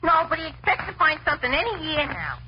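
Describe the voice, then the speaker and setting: A man's voice: very high-pitched, very animated, quick pace. An American man playing a hungry, oblivious, droll teenage boy in a 1940s radio comedy. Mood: guileless. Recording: noisy, dull 1940s radio broadcast.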